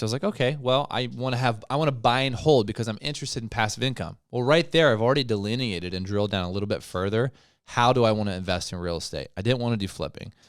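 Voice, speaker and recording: A man's voice: on the low side, clean tone, no room sound, studio quality. A man co-hosting a podcast in casual, conversational talk, laughing easily. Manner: conversational, laughs easily, slips into quoted voices. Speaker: podcast co-host. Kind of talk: casual chat.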